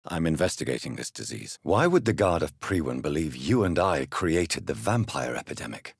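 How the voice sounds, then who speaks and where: A man playing an earnest, courteous English gentleman doctor in a video game, speaking formally and asking measured questions. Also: very deep voice, sing-song, vocal fry, breathy edge, no room sound, heavily acted. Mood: grave, inquiring. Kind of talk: video game character dialogue.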